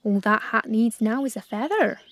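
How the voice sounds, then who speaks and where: A woman's voice: fairly high pitch, expressive, moderate pace. A woman voicing a bluff male farmer in a children's story, chiding in an avuncular way. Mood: mild scolding.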